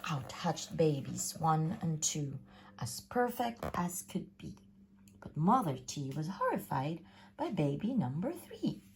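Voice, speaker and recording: A woman reading a rhyming children's story aloud in a theatrical, sing-song, expressive way. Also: sits low, takes it slowly, slight background noise, rough recording. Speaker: storybook reader.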